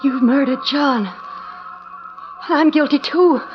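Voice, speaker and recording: An actress playing a frightened, anxious wife, pleading melodramatically in an old-time radio drama. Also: female voice, high-pitched, slow pace, music in the background. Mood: horrified. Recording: muffled old radio recording.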